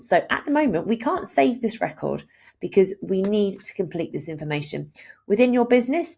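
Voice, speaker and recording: Woman, instructional screen-share narration, animated pitch, creaky edges, explanatory delivery. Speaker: software tutorial presenter.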